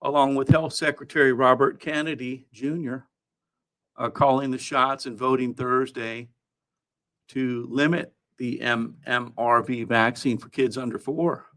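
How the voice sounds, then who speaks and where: Male news countdown host, mid-pitched, halting delivery, half-scripted commentary with dry asides. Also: fairly even intonation, bright, forceful tone. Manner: halting, with frequent throat clearing and wry asides. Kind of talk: news commentary, part read, part ad-libbed.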